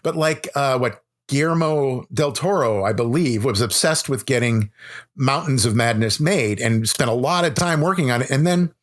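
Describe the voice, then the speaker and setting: Male podcast guest, mid-pitched, talkative and opinionated, casual film-buff conversation. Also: moderate pace. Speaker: podcast guest, horror author. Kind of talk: casual interview chat.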